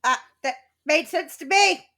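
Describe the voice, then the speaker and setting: A woman's voice: sits high, measured pace, sharp tone. A woman co-hosting a podcast in casual chat, joking flippantly and swearing freely. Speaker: podcast co-host.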